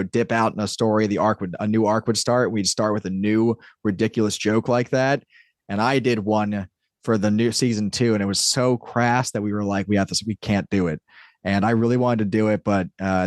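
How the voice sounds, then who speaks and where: Low-voiced man chatting as podcast guest. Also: no room sound, studio quality. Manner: rambling, explanatory, self-interrupting. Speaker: podcast guest, audio drama creator and writer. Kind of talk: casual interview chat.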